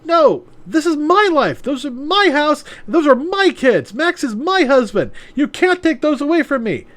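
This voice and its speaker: A man voicing a distraught, heartbroken young woman who talks in a high-strung, pleading way. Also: expressive, normal speed.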